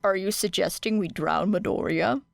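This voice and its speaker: A woman voicing a sardonic teenage boy who teases in a deadpan way. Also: mid-range voice, normal speed, creaky, dry recording. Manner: deadpan, teasing. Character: dry sardonic teenage boy hero student. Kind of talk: audiobook character reading.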